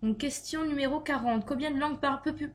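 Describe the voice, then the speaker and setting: A woman's voice: high voice, brisk. A young woman vlogger reading tag questions aloud and answering them in a chatty, giggly way, with frequent fillers and "donc voilà". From Luxembourg. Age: young adult. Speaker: beauty vlogger.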